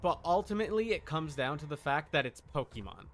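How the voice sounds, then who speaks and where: A man with a slightly high, bright and forceful voice talks as a game streamer. He chats casually while playing, arguing his opinions with emphasis. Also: big pitch swings, brisk, slight background noise.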